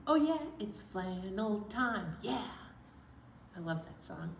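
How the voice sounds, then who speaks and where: A woman's voice: mid-range voice, lively intonation, slow pace, vocal fry. A woman hosting children's story time, playfully explaining things step by step and reciting rhymes in a roomy recording. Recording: roomy echo, faint background noise.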